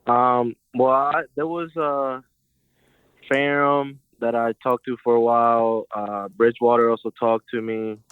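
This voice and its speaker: A man's voice: mid-range voice, unhurried, smooth, no room sound. A young man from Puerto Rico, a college football player, chatting casually as a guest on a phone interview, with plenty of "you know" and "like". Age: young adult. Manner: relaxed, casual, uses fillers like "you know". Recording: tinny phone line.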